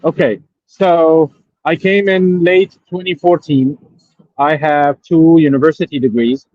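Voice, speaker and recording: A man from Syria calling in to a show, telling his case in a halting way, with many pauses and fillers. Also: fairly high pitch, fairly even intonation, muffled recording. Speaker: caller. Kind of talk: phone-in question.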